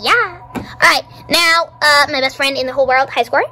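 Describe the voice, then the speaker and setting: A child voicing a bossy, self-important animatronic chicken chef, announcing cooks in improvised play in a halting manner. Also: high voice, pushed and bright, slight background noise.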